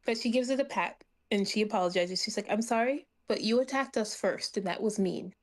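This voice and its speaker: Woman, medium pitch, playful conversational delivery, tabletop game player, casual character narration. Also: a little fast.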